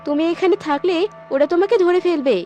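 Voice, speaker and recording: High-voiced earnest village daughter. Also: female voice, fast, dark tone, dull audio. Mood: urgent. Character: earnest, loyal young daughter who sells pearl necklaces. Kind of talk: Bengali fairy-tale cartoon dubbing. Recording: faint music under the voice.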